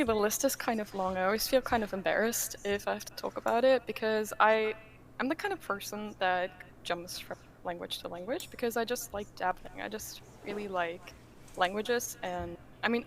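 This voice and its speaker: A woman's voice: mid-range voice, normal speed. A woman from Germany, a native German speaker, chatting casually as a podcast guest in a talkative, self-deprecating way. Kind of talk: casual chat. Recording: clearly noisy background.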